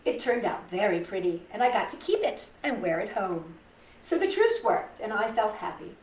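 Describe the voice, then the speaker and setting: Expressive middle-aged woman storyteller in a roomy space. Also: medium pitch. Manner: animated, dramatic pauses, addresses young listeners. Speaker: storytime host reading picture books to children. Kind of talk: expressive read-aloud with chatty asides. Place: indoors in a living room. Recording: roomy, distant mic with background noise.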